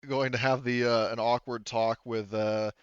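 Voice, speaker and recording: A man talking casually as game master to his players in a halting, hedging way. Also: medium pitch, level tone, smooth, no room sound, dull audio. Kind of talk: casual game-running talk among players. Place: online voice session.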